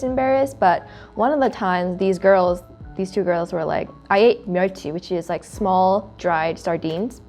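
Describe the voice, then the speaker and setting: A woman's voice: mid-range voice, warm tone. Chatty young woman, Korean first language. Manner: storytelling, frequent "like" fillers. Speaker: student club podcast host. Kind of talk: casual chat.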